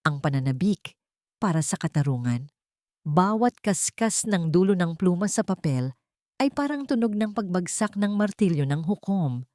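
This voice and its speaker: A woman's voice: lowish voice, big pitch swings, moderate pace, mellow, dry recording, studio quality. A woman with a Filipino accent narrating a scripted dramatic story.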